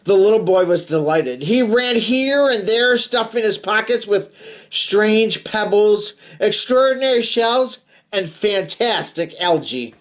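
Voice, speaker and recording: Male storybook reader, highly animated pitch, playful and expressive, drops into casual asides for kids. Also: measured pace. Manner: playful, expressive, chatty asides to young listeners. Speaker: storybook reader, host of a read-aloud show. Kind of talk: animated read-aloud of a children's picture book with casual asides.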